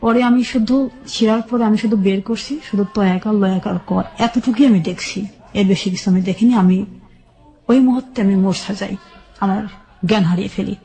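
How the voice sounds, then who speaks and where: A woman with a Bangladeshi accent shares a personal story in an interview. Her voice is dark and soft-edged, sitting at an ordinary female pitch. She goes step by step in halting detail, often repeating a phrase. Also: fairly even intonation, rough recording. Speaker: guest telling her life story.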